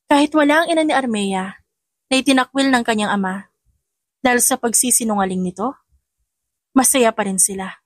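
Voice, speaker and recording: High-voiced Filipino woman narrating a drama. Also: moderate pace, pauses often, no echo, studio quality. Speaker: audio story narrator. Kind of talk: dramatic scripted narration of romance fiction.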